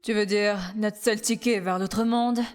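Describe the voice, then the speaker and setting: A woman playing a brash, sassy, sarcastic female character in an animated comedy fan dub. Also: mid-range voice, a little slow, lightly acted. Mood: sarcastic, cutting. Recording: faint music behind the voice.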